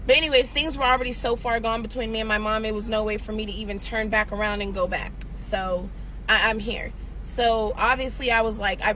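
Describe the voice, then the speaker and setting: A woman's voice: mid-range voice, fairly bright tone, a little hiss or hum, low-quality recording. A woman, telling a personal story in a candid, chatty way and talking straight to her viewers. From San Diego, California. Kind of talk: casual story time vlog.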